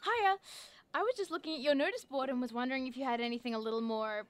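A woman playing a nerdy, sharp-tongued young monster investigator, eager and cheeky, in naturalistic audio drama. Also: high-pitched, quick pace, close to an everyday voice. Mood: curious, eager.

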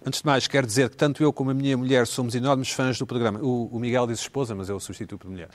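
A man's voice: mid-range voice, fairly even intonation. A man hosting a comedy panel show, reading listener emails aloud with teasing asides. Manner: fast, playful, reads messages aloud with teasing asides. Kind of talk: casual comedy panel chat.

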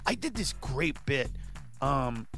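Animated comedian man with music under. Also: mid-range voice, takes it slowly, gravelly. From Honduras. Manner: animated, rising to shouts when acting out bits. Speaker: stand-up comedian, guest. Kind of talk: casual interview chat.

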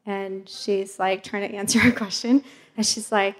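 A woman's voice: mid-range voice, steady tone, moderate pace. A woman from Mexico hosting a live panel in a casual, chatty, giggly and informal way. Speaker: host and journalist.

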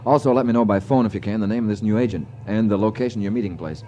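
An actor playing a businesslike FBI agent, clipped and matter-of-fact, in a 1950s radio drama. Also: male voice, lowish voice, racing, dark tone, muffled recording, close to an everyday voice. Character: businesslike male FBI agent handling an informant. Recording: slightly noisy 1950s radio recording.

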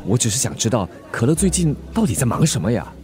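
An earnest, evasive young executive acted by a man in a radio drama. His pitch swings as he apologises and pleads, with music clearly playing behind him. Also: sing-song, fast talker, a little mellow, natural voice. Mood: anxious, pleading.

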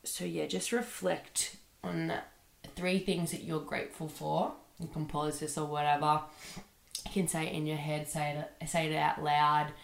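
Woman, low creaky flat voice, head cold, chatty podcast host in an echoey room. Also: measured pace. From Australia. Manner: chatty, rambling, lots of fillers like "like" and "um". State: sick with a bad cold. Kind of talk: casual solo podcast monologue. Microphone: computer microphone.

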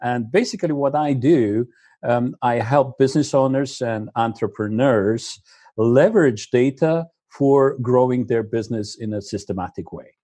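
A middle-aged man from Slovenia, speaking English as a second language, answering interview questions in a halting way with many fillers. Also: medium pitch, dark tone, dry recording. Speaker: business data consultant, guest.

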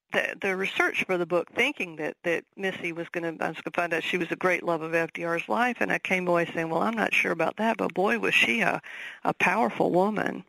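Southern woman author, gravelly voice. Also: on the low side, fairly bright tone, no echo, poor audio. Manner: thoughtful, many fillers and restarts. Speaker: author guest. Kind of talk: radio interview.

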